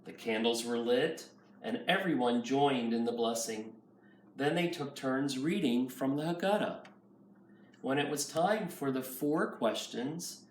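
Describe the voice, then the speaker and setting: A man reading a children's picture book aloud as a nursery school teacher. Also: mid-range voice. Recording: roomy, far from mic, faint noise.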